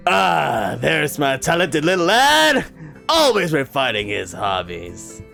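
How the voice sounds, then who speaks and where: A man voicing a boisterous, burly mercenary father who talks loudly and jovially, with drawn-out exclamations. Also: fairly high pitch, wildly swooping pitch, vocal fry, faint music behind, full character voice.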